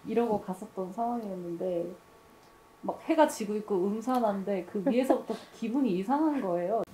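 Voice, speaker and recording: A woman's voice: mid-range voice, a little fast, mellow, slight background noise, low-quality recording. A woman on an art production team talking casually in a group discussion.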